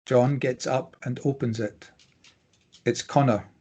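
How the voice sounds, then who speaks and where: A man's voice: mid-range voice, fairly even intonation, unhurried, mellow, slightly rough. A man reading out screenplay stage directions as scripted narration.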